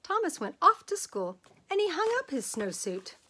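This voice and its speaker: A woman reading a children's picture book aloud as a storyteller, with expressive, dramatic emphasis and drawn-out words. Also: extremely high pitch.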